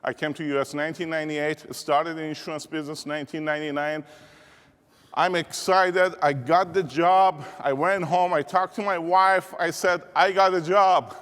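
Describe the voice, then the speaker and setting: A man from Iran with a Persian accent, giving an energetic keynote speech and rallying the audience in a large conference hall. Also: fairly high pitch, moderate pace, smooth. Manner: rallying, call-and-response with audience. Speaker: motivational speaker. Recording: reverberant hall.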